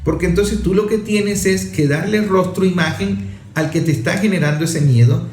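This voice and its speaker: Man, 40s, slightly high voice, emphatic conversational commentary with repetition. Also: moderate pace, darkish tone, echoey room. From Venezuela. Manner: emphatic, repeats and lists for effect. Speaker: father and author speaking on a talk show.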